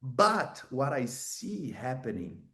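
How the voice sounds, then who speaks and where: A man's voice: medium pitch, big pitch swings, takes it slowly, fairly bright tone. A man who is a native Portuguese speaker, explaining his points conversationally as an interview guest. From Brazil or another developing Portuguese-speaking country. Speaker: guest expert and author.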